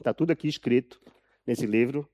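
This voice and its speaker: A man with a Brazilian accent giving a live talk from a prepared text, telling his story deliberately and emphatically, repeating phrases for effect. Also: medium pitch, dark tone. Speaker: journalist and author speaking at an event.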